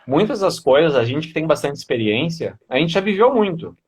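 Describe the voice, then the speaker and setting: A man's voice: on the high side, very animated, a little fast. A man hosting a motivational live stream, welcoming viewers by name and interviewing his guest. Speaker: host.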